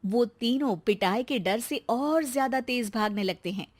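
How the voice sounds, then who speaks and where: A woman's voice: on the high side, a little slow, a little mellow. A woman narrating a scripted story as a storyteller.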